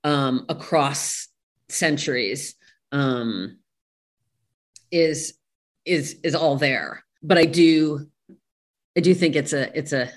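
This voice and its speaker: A woman giving a thoughtful interview as a guest, searching for her words in a halting way. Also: low voice. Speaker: interview guest, play translator.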